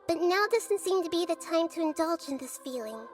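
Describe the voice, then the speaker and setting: A woman voicing a gentle, wise, childlike goddess in a video game, soft and earnest in a thoughtful, measured way. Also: very high-pitched, expressive, over music. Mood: moved, humble. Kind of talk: video game cutscene voice acting.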